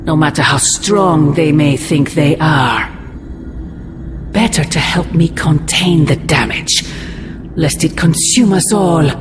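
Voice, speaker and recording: A woman's voice: low-pitched, takes it slowly. A woman voicing an ancient, imperious sorceress mother in a video game, speaking in grandiose, formal, ominous phrases. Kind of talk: dramatic video game cutscene acting. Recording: loud music underneath.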